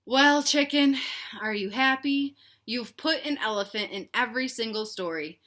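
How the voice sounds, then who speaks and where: A woman's voice: on the high side, moderate pace, pushed and bright. A woman voicing a weary, exasperated but patient father chicken in a children's picture book read-aloud, speaking emphatically. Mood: determined.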